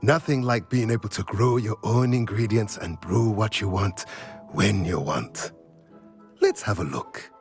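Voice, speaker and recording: An actor playing a courteous, enthusiastic magic-shop proprietor in a video game, speaking in a measured, savoring sales manner. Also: male voice, fairly low pitch, soft background music. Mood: helpful, enthusiastic. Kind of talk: video game character acting.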